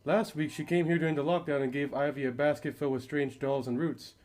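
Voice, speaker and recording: High-voiced worried dad played by a man. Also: steady tone, quick pace, a little mellow, natural voice. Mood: exasperated. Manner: protective, questioning. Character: worried, exasperated father of a little girl. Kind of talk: amateur radio drama acting.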